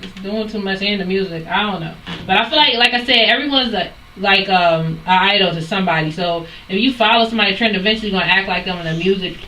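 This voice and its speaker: A woman's voice: mid-range voice, fast talker, hardly any pauses, bright, forceful tone, recorded from a distance. A woman hosting a radio interview in a chatty, casual way, laughing easily and filling her speech with "like". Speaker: radio host. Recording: echoey room, voice off-mic, faint hiss.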